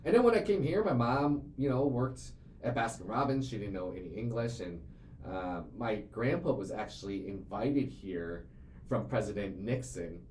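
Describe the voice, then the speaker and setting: A man's voice: on the low side, animated, normal speed, a little mellow. A man in his late twenties, hosting a casual business podcast, talking earnestly in long rambling runs with many fillers and repeated words. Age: 20s. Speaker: CEO and show host. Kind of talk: casual conversational podcast chat. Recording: roomy echo, faint ambient noise.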